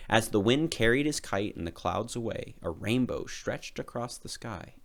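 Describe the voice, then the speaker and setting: A man narrates a children's story aloud. His voice sits a little low but moves widely in pitch, with expressive, dramatic delivery. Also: normal speed, vocal fry. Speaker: storybook reader.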